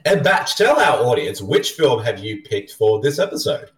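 A man's voice: mid-range voice, sing-song, normal speed. A man with an Australian accent hosting a podcast in casual, jokey chat, laughing often. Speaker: podcast host. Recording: roomy sound from a distant mic.

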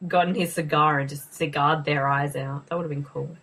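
Husky-voiced woman, joking around. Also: low-pitched, fairly even intonation, a little fast, a bit bright. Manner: joking, sarcastic, swears freely. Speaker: co-host. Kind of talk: casual movie commentary.

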